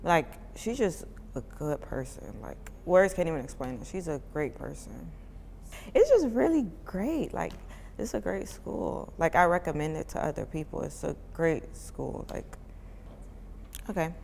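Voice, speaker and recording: A woman's voice: deep voice, a little slow, gravelly, big-room sound, a little hiss or hum. A woman in her twenties from Miami, a parent giving casual interview answers in a chatty way, full of "like" fillers. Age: 20s. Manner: chatty, many "like" fillers, relaxed informal speech.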